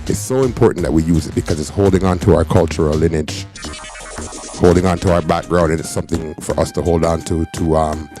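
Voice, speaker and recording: A man's voice: sits low, fairly even intonation, fairly quick, dark tone, faint music behind. A man born in Jamaica and raised in Canada, hosting a reaction show in emphatic commentary, repeating phrases for stress, with a Canadian accent with Jamaican touches. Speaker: host.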